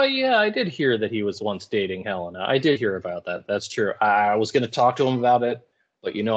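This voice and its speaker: A man with a lowish voice comments as a teacher would in a relaxed class. He explains informally and paraphrases in everyday slang with a wry touch. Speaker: high school English teacher. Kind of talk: casual lecture commentary.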